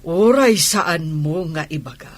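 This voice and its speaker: A middle-aged Filipino village aunt in a radio drama, nosy and doting. Her voice is husky and swings widely in pitch, with an unhurried, teasing delivery full of exclamations. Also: lively intonation, no room sound. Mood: knowing, chiding. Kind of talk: Ilocano radio comedy drama acting.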